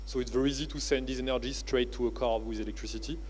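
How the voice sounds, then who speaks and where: A man with a French accent giving a conference keynote talk, halting often with fillers like "uh" and "you know, like". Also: mid-range voice, steady tone, a little mellow, slight background noise. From France. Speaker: keynote speaker. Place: convention centre stage.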